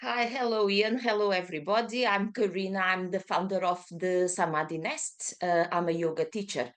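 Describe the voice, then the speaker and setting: A woman's voice: on the low side, fairly even intonation, normal speed. A woman in her thirties with a Portuguese accent, a yoga teacher chatting in an interview, speaking with frequent fillers and self-corrections. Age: 30s. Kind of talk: casual interview chat.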